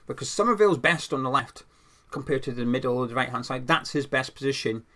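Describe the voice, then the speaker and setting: A young man with a croaky voice, giving his football opinions in a casual, conversational monologue with some restarts as a channel host. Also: medium pitch, a bit bright.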